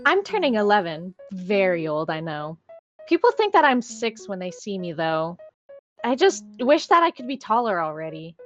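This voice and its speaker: A woman's voice: mid-range voice, sing-song, moderate pace, muffled recording, soft background music, close to an everyday voice. A woman playing a small, cheerful, earnest ten-year-old child in a fan-made comic dub. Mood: wistful.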